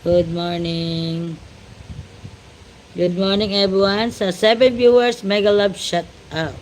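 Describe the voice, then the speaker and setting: Filipino woman streamer, leisurely shout-out style, faint hiss behind. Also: medium pitch, steady tone, takes it slowly, poor audio. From Philippines. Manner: greets viewers with repeated shout-outs, mixing Tagalog and English. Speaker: livestream host. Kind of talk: casual livestream chat.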